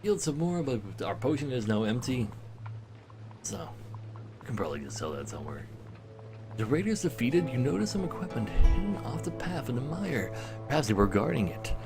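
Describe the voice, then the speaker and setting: Male game streamer, lively and expressive, chatty with viewers and narrating story text, music underneath. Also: mid-range voice, fairly quick. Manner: chatty, talking to live viewers. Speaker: video game livestreamer. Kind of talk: casual livestream commentary mixed with dramatic read-aloud story narration.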